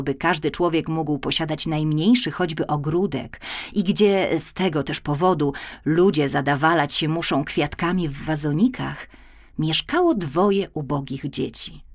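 A woman narrating a children's fairy tale expressively, drawing out key words for emphasis. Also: low voice. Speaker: audiobook narrator.

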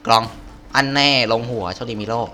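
A man's voice: medium pitch, steady tone, fairly quick, pushed and bright, soft background music. A man giving casual, slangy, exclamatory gameplay commentary, often talking to himself as he plays. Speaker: gaming video host playing through a game.

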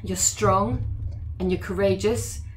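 A woman's voice: mid-range voice, steady tone, takes it slowly, a little hiss or hum, low-quality recording. A woman playing her character in a tabletop roleplay, speaking earnestly and pleading. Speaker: player.

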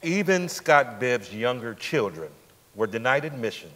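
A man's voice: medium pitch, sing-song, unhurried, fairly bright tone. A middle-aged man giving a scripted dramatic reading as narrator on a theatre stage. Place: large indoor theatre stage. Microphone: lapel. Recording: echoey theatre hall.

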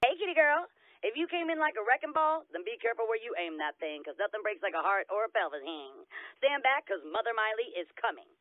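A woman playing a grand, catty drag-queen mother figure in a flamboyant, drawn-out manner. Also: fairly high pitch, big pitch swings, no room sound. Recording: tinny lo-fi telephone line.

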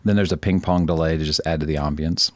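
A man presenting a casual screen-recorded software tutorial, thinking aloud in an informal, self-deprecating way. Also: very deep voice, steady tone, fast, no echo.

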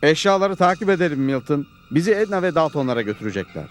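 Lively, confident cowboy hero. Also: male voice, fairly high pitch, quick pace, soft background music. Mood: focused. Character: calm, confident cowboy hero on the trail of outlaws. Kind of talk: dubbed cartoon acting.

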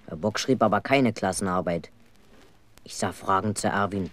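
A man's voice: fairly low pitch, normal speed, a bit bright, close to an everyday voice. An actor playing an earnest, aggrieved schoolboy who tells his own story in a 1970s German children's radio play. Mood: earnest storytelling.

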